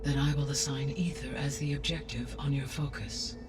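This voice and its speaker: Male actor as calm machine intelligence for a game cutscene: measured, precise, formal, middle-pitched, set a little back. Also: far from the mic, music in the background, close to an everyday voice. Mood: calm, informative. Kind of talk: video game cutscene dialogue.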